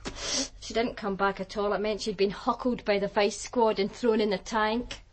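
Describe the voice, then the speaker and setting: An actress playing a streetwise, defiant Glasgow sex worker with a Glaswegian accent, blunt and colloquial in a radio drama. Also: female voice, mid-range voice, fairly even intonation, moderate pace, close to an everyday voice. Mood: matter-of-fact. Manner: blunt, colloquial, matter-of-fact. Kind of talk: naturalistic radio drama acting.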